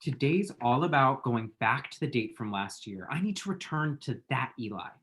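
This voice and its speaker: A man's voice: medium pitch, expressive, moderate pace, fairly bright tone, lightly acted. A male actor plays a self-dramatizing young man in a comic screenplay read. He is lovestruck and anxious, and talks in chatty, emphatic rambles. His voice sits in the middle of the range. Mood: anxious, determined. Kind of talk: screenplay table read acting.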